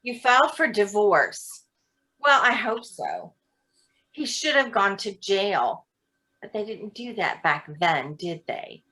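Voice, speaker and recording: A woman acting in a staged play reading as a confrontational, sarcastic woman who defends her friend with pointed, deliberate words. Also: medium pitch, big pitch swings, bright, forceful tone, exaggerated voice, low-quality recording.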